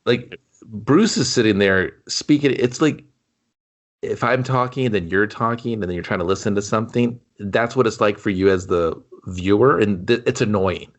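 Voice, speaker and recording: Lowish-voiced man ranting about a movie. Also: moderate pace. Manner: ranting, profane, conversational. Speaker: podcast co-host. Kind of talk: casual movie review banter.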